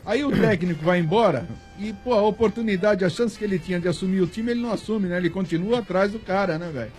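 A man's voice: sits high, fast talker, darkish tone. A man from São talking football on a radio show in a casual, emphatic way, full of slang and fillers. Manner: emphatic, colloquial, many fillers. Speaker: sports radio commentator. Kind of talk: casual sports talk.

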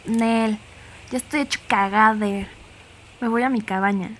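Woman voicing a high-pitched slangy Mexican teenage boy. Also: fairly even intonation, a little slow, slight background noise, close to an everyday voice. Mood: casual. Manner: casual, crude, slangy banter. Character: Andrés, scared, teasing teenage boy at camp. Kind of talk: slangy audio drama dialogue.

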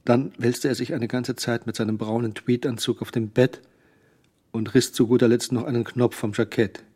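A man's voice: medium pitch, steady tone, moderate pace, mellow, slightly rough, lightly acted. A man acting as the dry, observant narrator of a German crime radio drama. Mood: dry, ironic.

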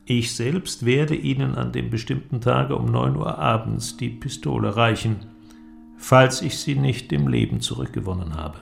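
An actor playing an eloquent, persuasive inventor in a radio drama, with a declamatory, deliberate delivery and ornate phrasing. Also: male voice, mid-range voice, vocal fry. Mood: grave. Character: eloquent visionary male inventor, grandiose and persuasive. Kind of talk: German literary radio drama acting.

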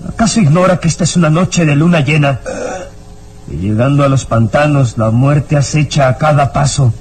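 An actor playing a gaunt, nervous, wickedly gleeful villain in an old radio serial melodrama, with a gloating, sinister manner. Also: male voice, on the high side, normal speed, darkish tone, a little hiss or hum, lightly acted. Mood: sinister, mocking. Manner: gloating, sinister, breaks into cackling laughter. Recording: radio drama with background music. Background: dramatic music.

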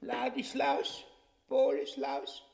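Hoher, knarrender, aufgeblasener König. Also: male voice, very high-pitched, big pitch swings, takes it slowly, gravelly, noisy background, exaggerated voice. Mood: blustering, dismissive. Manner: haughty, stammering, chuckling. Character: pompous, stubborn, foolishly trusting old king and father. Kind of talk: theatrical farce radio acting. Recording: long hall reverb.

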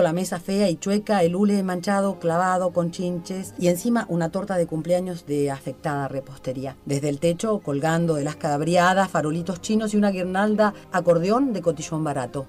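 Casting: radio host, theatrical and literary delivery, mid-range pitch, music under the voice. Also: a little mellow. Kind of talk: theatrical scripted reading and interview hosting.

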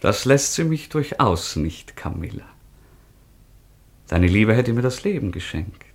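A man's voice: on the low side, very animated, a little slow, heavily acted. An actor playing an ardent, melancholy young nobleman, speaking earnestly and lyrically in a radio play. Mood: earnest, wounded. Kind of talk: classic radio play acting.

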